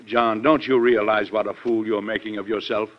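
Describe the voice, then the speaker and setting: A man's voice: lowish voice, little pitch movement, normal speed, lightly acted. An actor playing a stern, weary President and exasperated father in an old-time radio drama, speaking formally and reproachfully. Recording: dull lo-fi vintage radio recording.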